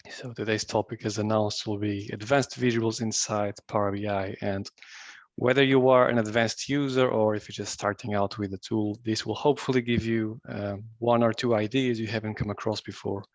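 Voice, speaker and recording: A man's voice: on the low side, steady tone, moderate pace, no room sound. A man from Portugal, with Portuguese as his first language, giving a friendly, conversational online meetup presentation over a video call. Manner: friendly, conversational, some restarts.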